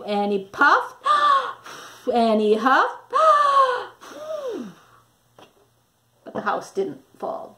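Middle-aged woman, high voice, slow pace, expressive storybook narration, dramatic emphasis. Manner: dramatic, deliberate word emphasis. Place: small indoor room with wall displays.

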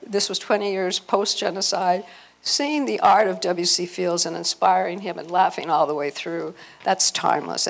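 An older woman presenting an informal family-history slide talk, halting and often restarting her phrases. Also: medium pitch, creaky. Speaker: presenter, speaker at a convention. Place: hotel conference room, before a seated audience.

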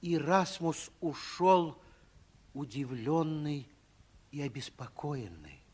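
A man narrating a children's radio drama as a storyteller, in a hushed, suspenseful way. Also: a little high, expressive, slow, a little hiss or hum.

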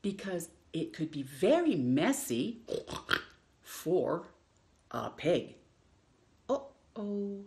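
A middle-aged woman hosting storytime, reading to children in an expressive, drawn-out way with playful emphasis. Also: fairly low pitch. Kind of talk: reading a picture book aloud to children. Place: indoors in a home room.

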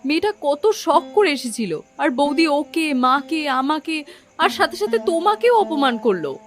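High-voiced, aggrieved young wife. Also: female voice, big pitch swings, moderate pace, soft background music. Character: aggrieved, fair-minded young daughter-in-law. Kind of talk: children's cartoon voice acting.

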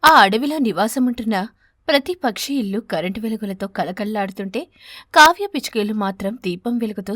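Casting: female narrator for animated moral tales, high-pitched, dry studio-style sound. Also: no room sound. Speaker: storyteller.

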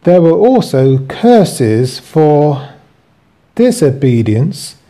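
A man hosting and reading from his own book with commentary, in deliberate, halting phrases. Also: a little high, very animated, dark tone.

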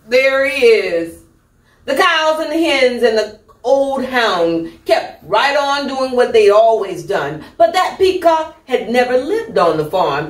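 A middle-aged woman hosting storytime, reading a children's picture book with deliberate, theatrical emphasis. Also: fairly high pitch. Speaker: storytime host. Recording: echoey room, distant microphone.

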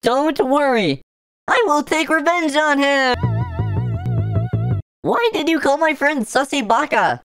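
Woman voicing a squeaky, excitable cartoon game avatar. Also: takes it slowly, long pauses, dry recording, faint music behind, exaggerated voice. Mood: hurt, indignant. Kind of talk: animated comedy skit dubbing.

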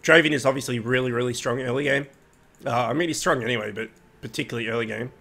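A young man hosting a casual gaming video, sounding nasal from a cold, chatty and relaxed with lots of fillers. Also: mid-range voice, fast talker, vocal fry. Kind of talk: casual gameplay commentary.

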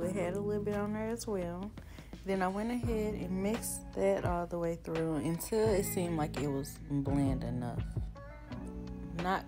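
Casual young woman chatting over music. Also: lowish voice. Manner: relaxed, rambling, laughs at herself. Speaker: vlogger. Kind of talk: casual cook-along chat and voiceover. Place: home kitchen, indoors. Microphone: camera on the counter. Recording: voiceover with clear background music.

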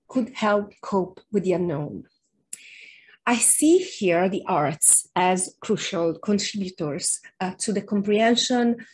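A middle-aged woman delivers an academic keynote from an indoor room over a webcam. She speaks in a measured, thoughtful way, pausing often and filling gaps with small hesitations. Her voice sits at an ordinary middle pitch. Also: darkish tone, slightly rough, low-quality recording. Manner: measured, frequent fillers and pauses. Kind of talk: academic lecture over slides. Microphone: webcam on a video call.

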